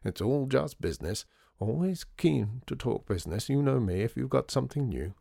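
A man's voice: medium pitch, big pitch swings, normal speed, vocal fry, warm tone, breathy edge, dry recording, studio quality, voice pushed up, full character voice. A man voicing a fat, rich businessman who speaks in a hearty, glad-handing way. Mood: jovial.

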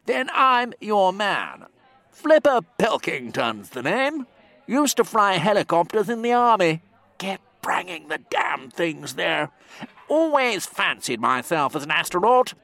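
A man's voice: high voice, very animated, measured pace, pushed and bright, pitched above the performer's own voice, full character voice. A man voicing a jolly, eager ex-army pilot in a comic story, with an upper-class British accent and a clipped, hearty military manner. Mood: hearty, boastful.

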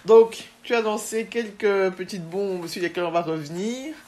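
A woman hosting a podcast interview, asking questions in a relaxed, encouraging way with many fillers. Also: mid-range voice, normal speed. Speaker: podcast host.

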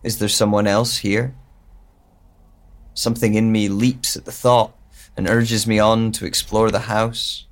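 A man's voice: fairly low pitch, little pitch movement, a little slow. An actor playing a bewildered, lonely man with lost memory in a hesitant, introspective audio drama monologue. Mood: searching, wistful. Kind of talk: slow first-person audio drama monologue.

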